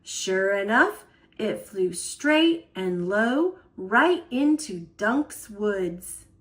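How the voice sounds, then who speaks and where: A woman storyteller reading a children's picture book aloud in an expressive style. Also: medium pitch, very slow.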